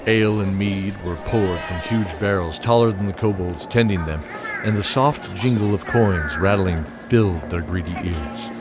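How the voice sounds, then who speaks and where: Low-voiced man narrating fantasy over music. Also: normal speed. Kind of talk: dramatic scripted fantasy narration.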